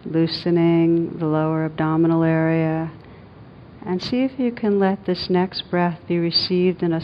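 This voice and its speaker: A woman with a fairly low voice leads a guided meditation, speaking gently and soothingly. She leaves long, unhurried pauses between phrases. The recording is somewhat dull, with a faint rumbling noise behind her. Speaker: meditation teacher.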